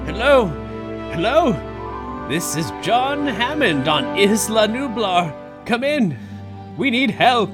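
An elderly park founder, played by a man, pleads in a high, swooping voice. He speaks slowly and anxiously. Music plays beneath him in this fan audio drama. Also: sing-song, full character voice. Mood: pleading. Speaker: voice actor.

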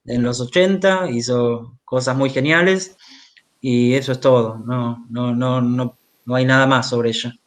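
Argentine guy answering interview questions, mid pitch, hesitant, keeps doubling back on words. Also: steady tone, a little slow. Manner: hesitant, repeating words as he goes. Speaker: interviewed author, journalist and biographer. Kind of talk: conversational remote interview. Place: remote video call.

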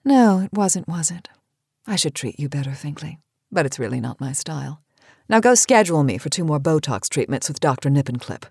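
Husky, low-voiced imperious older woman. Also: animated, a little fast, a little mellow, dry recording, studio quality, exaggerated voice. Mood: sardonic. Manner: commanding, cutting, sardonic. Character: imperious aging cosmetics queen, sharp-tongued and vain. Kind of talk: campy audio drama acting.

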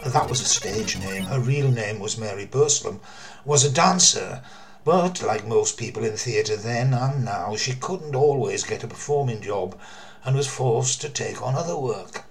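An older man with a mid-pitched voice narrates a mystery as a storyteller. His delivery is theatrical and deliberate, leaning on key words and pausing for drama. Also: vocal fry, soft background music. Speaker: storyteller, former theatre worker.

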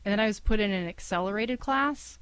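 A woman's voice: medium pitch, brisk, smooth, no room sound. A middle-aged woman from Cleveland, a school's founding director, talking casually in an interview with many fillers and easy laughs. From Cleveland, USA.

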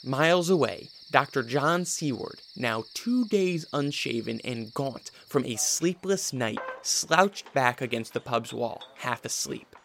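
A man's voice: mid-range voice, expressive, measured pace, gravelly, few pauses, fairly bright tone, a little hiss or hum. A man reading scripted narration for a radio drama.

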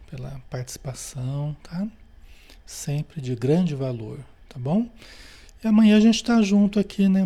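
Guy hosting a spiritist meeting, soft mellow voice, calm and gentle. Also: on the high side. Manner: calm, gentle, with friendly verbal tags. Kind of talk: closing prayer and announcements.

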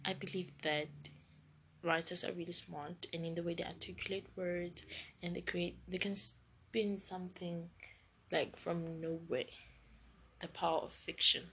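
Woman podcast host, slow unhurried delivery, gravelly husky voice, rambling restarts. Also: lowish voice, flat delivery, sharp tone, slight background noise, low-quality recording. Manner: rambling, restarts and self-corrects. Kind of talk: solo podcast monologue.

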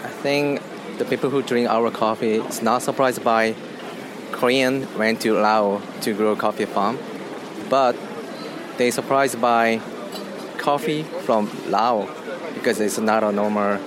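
A man representing a coffee farm who answers interview questions in halting, careful non-native phrasing. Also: on the low side, fairly even intonation, background noise.

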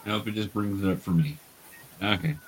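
A man's voice: lowish voice, fairly even intonation, fairly quick. Man guiding a game over faint noise. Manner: patient, step-by-step explaining, hesitant. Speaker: dungeon master, teacher. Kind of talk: guiding an online tabletop role-playing game.

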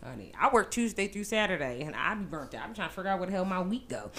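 A woman's voice: on the low side, big pitch swings, fast talker, a bit bright. A woman in her thirties co-hosting a podcast, chatting emphatically and often saying "honey". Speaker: podcast co-host. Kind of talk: casual chat.

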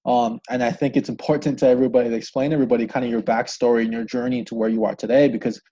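A man's voice: medium pitch, little pitch movement, very fast, mellow, husky, dull audio. A man hosting a podcast, introducing a guest and asking questions in a loose, friendly way with many fillers. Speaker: podcast host.

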